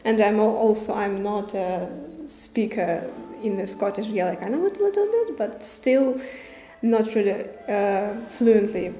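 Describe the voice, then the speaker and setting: Halting young woman, roomy. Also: medium pitch, animated, dark tone. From Ukraine. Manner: halting, searching for words, many fillers. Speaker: film director. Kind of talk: festival Q&A answers. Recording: roomy with faint music behind.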